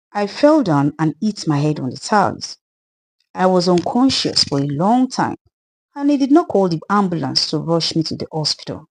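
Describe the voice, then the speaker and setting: A woman's voice: fairly low pitch, a little mellow. A woman voicing the sorrowful, wronged ghost of a murdered widow in a dramatised folktale, plaintive and earnest, with a Nigerian accent.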